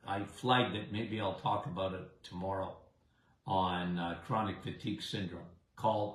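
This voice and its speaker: Middle-aged male host, big voice, unhurried and chatty, slight Canadian accent, roomy sound. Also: fairly low pitch. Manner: chatty, rambling, says "okay?" and "guys" often. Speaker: health show host. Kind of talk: casual live health talk. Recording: roomy echo.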